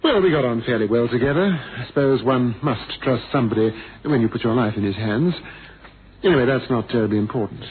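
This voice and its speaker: An actor playing a blind former pilot, theatrical and bitterly witty, with a wry, wordy, ironic manner, in a radio drama. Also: male voice, mid-range voice, fairly quick, natural voice. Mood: wry, reminiscing. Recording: noisy, thin phone-like old radio recording.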